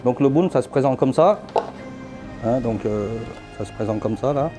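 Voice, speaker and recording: A middle-aged man from Vietnam, a chef demonstrating dishes in his restaurant kitchen, talking haltingly with many fillers. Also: mid-range voice, animated. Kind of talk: cooking demonstration. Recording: faint music behind.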